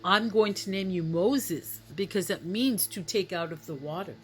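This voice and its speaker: A woman voicing a kind, delighted Egyptian princess for a children's story, gentle and cooing. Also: mid-range voice, normal speed, natural voice.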